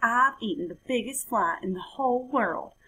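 Woman as a boastful, high-pitched young frog. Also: normal speed, bright, forceful tone, breathy edge, low-quality recording. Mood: boastful, excited. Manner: bragging, exclamatory. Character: boastful, bouncy young male frog with big mouth. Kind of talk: children's storybook character.

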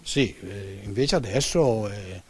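A man's voice: on the low side, takes it slowly, darkish tone. Man in his 60s, hesitant and trailing off, handheld mic. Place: clothing shop. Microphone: handheld interview mic.

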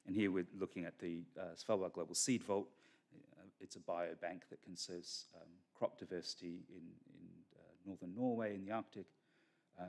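A middle-aged man speaks at a podium mic in a large conference hall, his voice low and deep. He lectures in a measured academic way, often pausing on fillers and restarting his phrases. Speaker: keynote speaker. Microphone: podium microphone.

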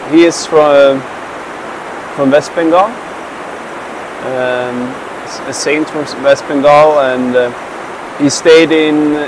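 A man in his thirties with a Swedish accent, speaking to the camera outdoors in a slow, halting way with many fillers and restarts. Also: mid-range voice. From Sweden. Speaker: traveler and meditation practitioner. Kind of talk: casual spoken account to camera. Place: outdoors by a mountain river. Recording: rushing river noise behind.

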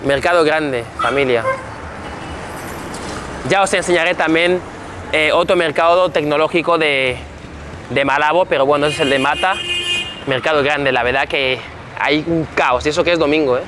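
A man's voice: a little high, normal speed, long pauses, noisy background. A young man casually narrating a travel vlog to camera, upbeat and chatty, calling his viewers family as he walks around a beach resort and a busy market. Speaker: vlogger. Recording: music bed underneath.

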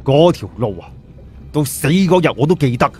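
A man's voice: fairly high pitch, lively intonation, normal speed, warm tone, soft background music, natural voice. A man with a Cantonese accent voicing an elderly village chief, earnest, proud and plain-spoken as he reminisces. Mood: reminiscing, assured.

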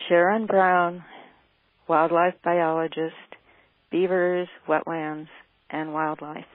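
A woman's voice: on the low side, flat delivery, slow pace, no echo. A woman, a wildlife biologist, reading literary narration aloud. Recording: tinny phone-like audio.

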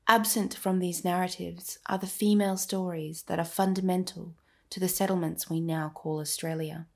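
A woman's voice: fairly low pitch, vocal fry. A woman narrating a scripted history podcast, speaking directly to the listener in a dramatic storytelling manner.

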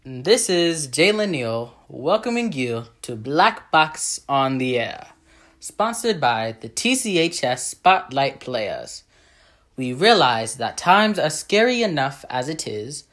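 Young American man, very lively radio host. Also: on the high side. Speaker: show host. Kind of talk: scripted 1930s radio-style introduction.

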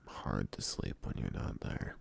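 A man playing a sleepy, affectionate boyfriend in an intimate ASMR roleplay, murmuring tenderly and haltingly in a gravelly, husky morning voice. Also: close to an everyday voice. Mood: drowsy, pleading. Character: sleepy, affectionate boyfriend coaxing partner back to bed.

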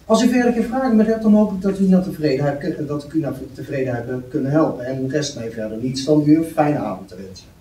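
A man's voice: fairly high pitch, animated, quick pace, hardly any pauses, warm tone, lightly acted. A man playing a polite, reserved bank office worker with a courteous, formal manner at a script table read. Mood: courteous, businesslike. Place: meeting room, indoors. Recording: roomy, distant mic, faint noise.

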